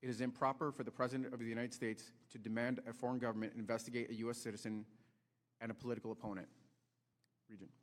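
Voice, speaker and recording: A man's voice: medium pitch, flat delivery. Formal male witness in a reverberant chamber. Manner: formal, deliberate. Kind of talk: prepared statement read aloud. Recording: reverberant hearing room.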